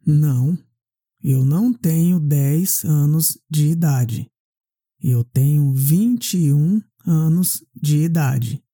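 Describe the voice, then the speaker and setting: A woman with a low, extremely dark and mellow voice leads a language drill. She speaks extremely slowly, separating each short question and answer with care. The sound is dry and close, with little room around it. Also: no echo. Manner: deliberate, carefully separated phrases. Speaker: language teacher.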